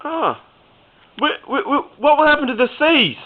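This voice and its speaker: A man voicing an excitable, naive, whiny child in an amateur comedy sketch. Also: very high-pitched, a little slow, exaggerated voice. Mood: anxious. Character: excitable naive child asking anxious questions. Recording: muffled lo-fi home recording.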